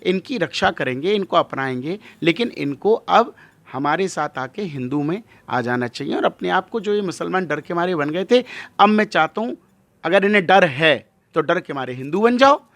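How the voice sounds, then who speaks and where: High-pitched, emphatic male religious leader. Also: lively intonation, normal speed, fairly bright tone, dry recording. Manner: emphatic, rhetorical questions and repetition. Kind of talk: impassioned interview monologue.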